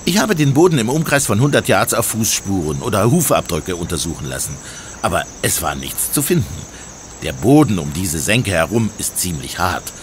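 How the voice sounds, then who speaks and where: An actor playing a dutiful police inspector in a radio play, reporting in a factual, official manner. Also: male voice, low voice, sing-song, normal speed, slight background noise. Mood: matter-of-fact. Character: dutiful, matter-of-fact male police inspector. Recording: outdoor insect and animal ambience behind.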